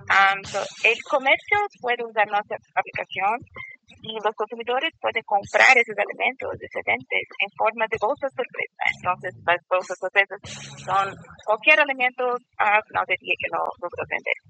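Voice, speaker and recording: Brazilian woman on the phone, bright gravelly voice, slow careful spanish. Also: mid-range voice, a little hiss or hum, rough recording. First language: Portuguese. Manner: careful, searching for words. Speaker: startup representative, phone guest. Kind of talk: phone interview.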